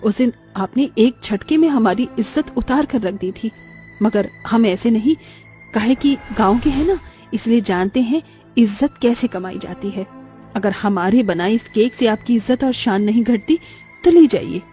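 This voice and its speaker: A woman's voice: fairly high pitch, expressive, moderate pace, warm tone, faint music behind, close to an everyday voice. A woman voicing a humble, dignified village daughter-in-law in a cartoon, earnest and respectful with rustic wording. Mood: hurt, dignified. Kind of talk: Hindi moral-story cartoon voice acting.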